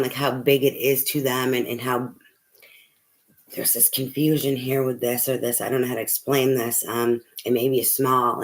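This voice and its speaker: Soft-spoken hoarse tarot reader. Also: medium pitch. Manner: rambling, filler-heavy, swears casually; speaking softly. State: losing voice, hoarse. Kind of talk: casual tarot reading to camera.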